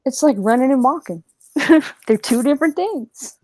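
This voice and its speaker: A woman's voice: sits high, lively intonation, moderate pace, darkish tone, no room sound. A woman who sings, speaking as a podcast guest in casual chat, blunt and crude and laughing often.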